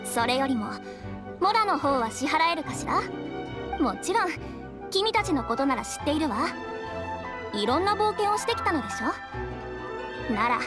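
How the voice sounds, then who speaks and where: An actress playing a cool, shrewd young detective in an anime game, confident and businesslike. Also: female voice, high-pitched, big pitch swings, moderate pace, pauses often, over music.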